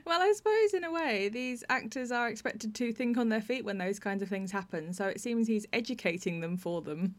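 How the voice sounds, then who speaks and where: A woman's voice: fairly high pitch, animated, a little fast, few pauses. A woman with a British accent hosting a podcast in a wry, self-deprecating way, partly scripted and partly chatting. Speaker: podcast host. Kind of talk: scripted introduction and friendly interview.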